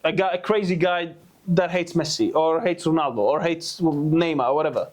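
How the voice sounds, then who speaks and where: A man's voice: on the high side, steady tone, normal speed. A young man from Iraq, co-hosting a casual football podcast, outspoken and restarting his sentences when heated. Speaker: podcast co-host.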